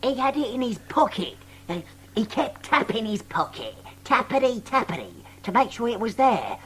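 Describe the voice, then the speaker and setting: An actress playing a dim young male rat in an audio drama, with a husky voice and halting, uneducated speech. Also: fairly high pitch, sing-song, slight background noise. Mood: earnest. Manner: halting, slow-witted, uneducated.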